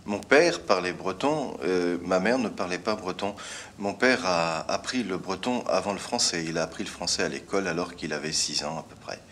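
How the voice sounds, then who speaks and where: A man from Brittany answering interview questions hesitantly, pausing often. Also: low-pitched, fairly bright tone, a little hiss or hum. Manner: hesitant, many pauses and fillers.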